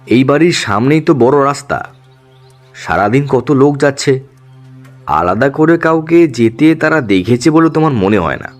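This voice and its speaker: A man's voice: medium pitch, expressive, moderate pace, soft background music, lightly acted. A man playing a skeptical police officer in an audio drama, formal, matter-of-fact and authoritative. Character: businesslike male police officer, brisk and skeptical.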